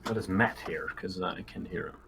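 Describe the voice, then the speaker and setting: A man's voice: low voice, normal speed, gravelly, a crowd in the background. A man playing a tabletop game, chatting in a casual, sweary, informal way. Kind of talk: casual joking chat. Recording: noisy voice chat with clicks.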